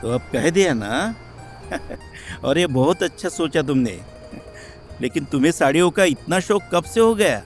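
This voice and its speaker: Lively, supportive village husband, played by a man. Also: fairly high pitch, unhurried, faint music behind. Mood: curious. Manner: reassuring, expressive. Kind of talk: cartoon moral story voice acting.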